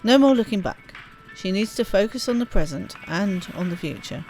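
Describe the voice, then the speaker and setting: Female let's play host, mid-range voice, expressive narrative reading, casual asides, faint music underneath. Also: medium pitch, moderate pace, rough recording. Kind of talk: reading game narration aloud.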